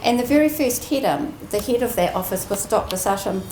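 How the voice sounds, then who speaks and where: A woman giving a prepared ceremony speech as an executive, measured and earnest with deliberate emphasis. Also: a little high, lively intonation. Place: indoor ceremony room. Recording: roomy echoing venue, faint background noise.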